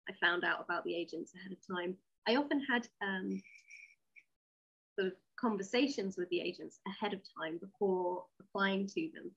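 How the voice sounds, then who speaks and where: A woman with a slightly husky, breath-edged voice speaks candidly as an interview guest, sharing firm opinions in a conversational way. Her pitch sits in the middle range, and the recording is dull and a bit lo-fi. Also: normal speed, a bit bright. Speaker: interview guest, sci-fi writer.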